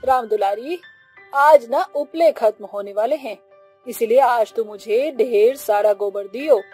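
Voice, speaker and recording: A woman's voice: a little high, unhurried, darkish tone, slightly rough, soft background music. A woman voicing a hardworking, affectionate village woman in cartoon dialogue.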